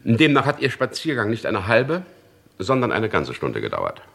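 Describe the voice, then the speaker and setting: Stern, low-voiced police inspector, very animated. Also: male voice, moderate pace, gravelly, exaggerated voice. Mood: probing, reproachful. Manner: formal, pointed, authoritative. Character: stern, insistent male police chief inspector questioning witnesses. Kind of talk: German radio crime drama acting.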